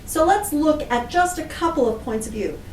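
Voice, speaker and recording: A woman with a high voice teaches a class, explaining step by step and listing examples. She is some way from the mic in an echoing room, with faint noise behind her. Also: expressive, moderate pace, darkish tone, distant mic. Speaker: library class instructor. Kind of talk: instructional lesson to children, explanatory.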